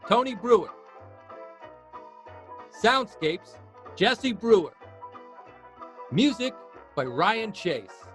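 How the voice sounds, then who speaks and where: A man's voice: high-pitched, a bit bright, poor audio. A man reading scripted credits as an announcer.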